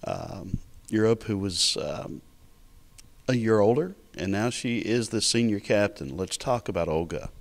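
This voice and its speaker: Male sports interviewer, low register, halting delivery with pauses mid-question. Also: lively intonation. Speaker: interviewer for college tennis program.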